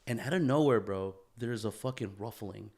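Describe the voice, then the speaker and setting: A man chatting casually as a podcast host, telling stories in an animated, slangy way and swearing freely. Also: lowish voice, moderate pace. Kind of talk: casual chat, storytelling.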